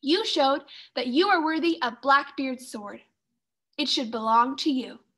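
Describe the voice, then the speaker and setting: Hearty pirate father acted by a woman, high-pitched for a dad, proud, loving, jovial, storybook character. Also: measured pace, fairly bright tone. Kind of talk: children's storybook reading.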